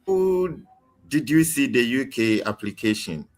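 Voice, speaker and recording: A man's voice: medium pitch, lively intonation, unhurried. A man hosting a casual interview, asking questions in a conversational way. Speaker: interviewer, host.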